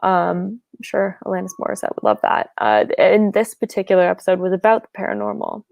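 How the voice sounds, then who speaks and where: A woman's voice: on the low side, sing-song, fairly quick, gravelly, a little mellow, dry recording, soft background music. A woman telling a funny ghost story in a rambling, casual way, full of "like", over a video call. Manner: rambling, lots of "like".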